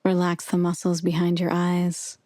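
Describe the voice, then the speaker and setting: A woman narrating a guided meditation with soothing, measured, gentle instruction. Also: on the low side, completely flat delivery, dry recording, studio quality.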